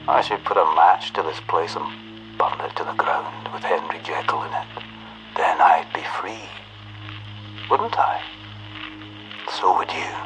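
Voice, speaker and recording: Very deep, menacing alter ego. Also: male voice, rough recording. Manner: taunting, menacing. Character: wicked alter ego of a Victorian doctor, taunting, menacing. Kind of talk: radio drama acting.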